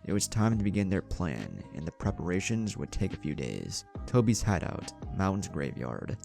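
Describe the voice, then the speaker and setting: A man narrates a scripted fan fiction story in a very low voice with a lively, swinging delivery. There is a gravelly fry in his tone, and soft music plays behind him. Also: normal speed. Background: background music.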